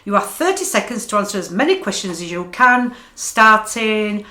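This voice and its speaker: An actress playing a formal quiz show host in a radio play, announcing crisply. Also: female voice, mid-range voice, very animated, moderate pace, pushed and bright. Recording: roomy, echoing sound.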